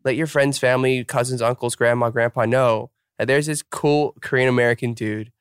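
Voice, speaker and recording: Smooth-voiced young man hosting chat. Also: mid-range voice, fairly even intonation, fairly quick, no echo, clean studio sound. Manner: upbeat, filler-heavy, self-deprecating. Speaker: podcast host. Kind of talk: casual interview chat.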